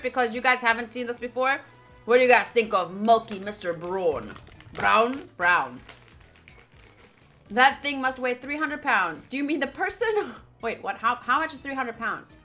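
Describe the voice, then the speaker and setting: Game streamer: woman, fairly high and bright, alternates read-aloud narration with informal chat. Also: animated, normal speed, soft background music. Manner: informal, chatty asides. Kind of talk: reading game text aloud with casual chat.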